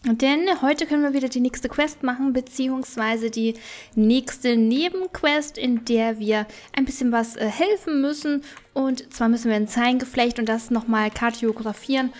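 A woman's voice: fairly high pitch, moderate pace, darkish tone. A woman gaming YouTuber giving casual, chatty let's play commentary, thinking aloud as she plays. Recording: music behind the voice.

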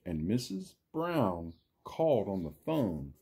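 Friendly dinosaur storyteller for a children's cartoon storytime, played by a man: low, mellow, husky, theatrical, asking the kids questions. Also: big pitch swings, slow pace, heavily acted. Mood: theatrical storytelling. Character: friendly green dinosaur puppet, animated children's storytime reader. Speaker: storytime reader for a school class. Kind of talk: children's storybook read-aloud with asides.

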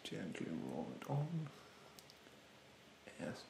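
A man in his twenties doing an ASMR roleplay as a rude beautician, speaking softly and breathily, often near a whisper, in a haughty, condescending way. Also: on the low side, sing-song, slow pace, vocal fry, reverberant, a little hiss or hum. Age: 20s. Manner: haughty, condescending, politely insulting. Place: small bedroom, indoors.

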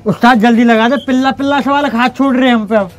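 A man with a very high voice plays an eager wrestler who keeps pleading with his coach. He sounds keen and a little whiny. Faint music sits behind him. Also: fairly even intonation, fast talker. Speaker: young wrestler customer. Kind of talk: comic sketch in village dialect.

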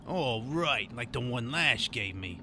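Jittery, animated streetwise informant. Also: male voice, medium pitch, takes it slowly, background noise. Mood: nervous. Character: nervous, shifty supernatural informant on the run. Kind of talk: radio drama monologue and dialogue.

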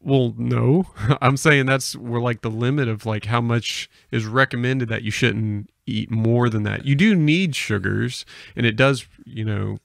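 A young man with a medium-pitched, creaky voice hosts a casual chat. He is recorded close and dry on a podcast microphone. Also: measured pace, few pauses, dry recording. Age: young adult. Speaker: podcast host. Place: small home room with bookshelves. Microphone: podcast microphone on a boom arm.

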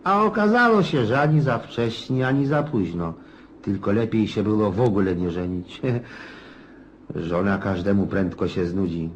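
An actor playing a garrulous, gloomy and pushy old retired Cossack officer in a radio play, rambling in a folksy, insistent way with hums and chuckles. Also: male voice, fairly low pitch, animated, normal speed, slight background noise, close to an everyday voice. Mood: grumbling, wry. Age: older.

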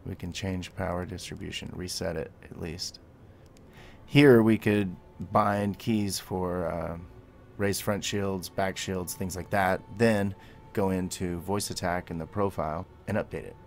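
A man's voice: low voice, steady tone, measured pace, gravelly. A man giving a gameplay tutorial, mixing clipped spoken commands with casual explanation. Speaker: tutorial host.

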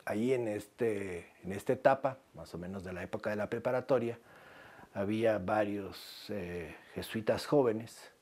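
Slow, low-voiced older Guatemalan man. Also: darkish tone. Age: 70s. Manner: measured, halting with pauses. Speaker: researcher telling his life story. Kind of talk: reflective interview narration. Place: small book-lined room indoors.

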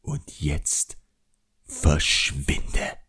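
A man voicing an arrogant, cruel young wizard in an audiobook, speaking slowly and condescendingly and savouring each word. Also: bright, forceful tone. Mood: menacing, quiet. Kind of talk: audiobook character acting.

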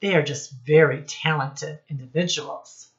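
A middle-aged woman giving a read-aloud lesson to young children, speaking deliberately and instructively as their teacher. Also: low-pitched, fairly even intonation, muffled recording. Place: small indoor room with posters.

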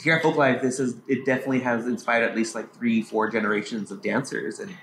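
A man's voice: mid-range voice, fairly even intonation, quick pace, a bit bright. Male interviewer, mid-range voice, casual and reflective, roomy recording with faint noise behind him. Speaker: host. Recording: echoey space, faint background noise.

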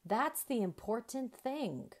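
Woman as father turtle, storybook, kind, gently reassuring, expressive intonation, slow. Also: on the high side, pitched above the performer's own voice, full character voice.

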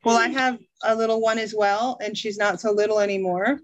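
A middle-aged American woman teaching an English class over a video call, speaking slowly and encouragingly from a small room at home. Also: mid-range voice, steady tone, a little hiss or hum, poor audio. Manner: slow, encouraging, reads a passage aloud. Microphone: video call webcam.

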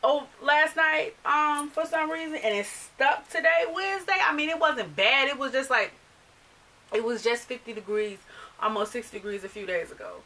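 Chatty bright-voiced woman vlogger. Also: sits high, moderate pace, distant mic, a little hiss or hum. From United States. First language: not English. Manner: chatty, animated, gestures while talking. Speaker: fashion vlogger. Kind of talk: casual chat to camera. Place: small bedroom filled with clothes and accessories.

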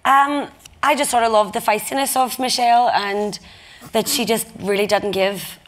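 A young woman talks bluntly on a panel stage, laughing and swearing as she goes. Her voice sits fairly high. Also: steady tone, normal speed, a bit bright, rough recording. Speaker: actress on a panel. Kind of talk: festival panel conversation. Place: stage in front of a live audience.

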